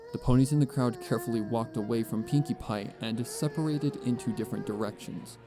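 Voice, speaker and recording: A man narrating a scripted fan fiction story as an expressive storyteller. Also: medium pitch, a little fast, music in the background.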